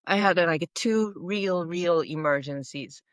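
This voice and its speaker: A woman's voice: lowish voice, unhurried, no echo, clean studio sound. A Japanese-born woman, a veterinary dermatologist, talking in a casual interview, hesitant and searching for words with many fillers.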